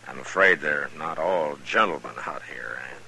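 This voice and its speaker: An American actor playing a steady, protective, terse frontier marshal in a hissy 1950s radio western. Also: male voice, extremely low pitch, gravelly, heavily acted, low-quality recording.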